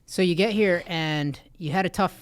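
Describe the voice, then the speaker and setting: A man's voice: sits high, moderate pace. A man hosting a podcast who asks questions in an easygoing, conversational way. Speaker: podcast host. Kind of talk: interview hosting.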